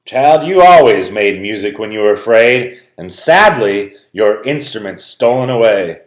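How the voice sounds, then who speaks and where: Man reading as a slow, kindly old farm papa. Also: fairly low pitch, animated. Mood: comforting. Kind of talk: storybook read-aloud character voice. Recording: roomy, echoing sound.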